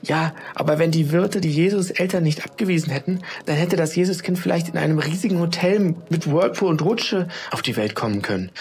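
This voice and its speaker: Brief: eager little boy, put on by a male narrator, raised and bright, earnest storybook kid. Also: fairly high pitch, expressive, brisk, hardly any pauses, pitched above the performer's own voice. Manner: pleading, earnest explaining. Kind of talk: storybook read-aloud.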